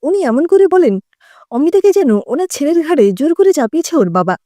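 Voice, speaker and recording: A woman narrates a scripted story. Her voice is high and soft-toned, with animated intonation. The sound is dry and close. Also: brisk, dry recording.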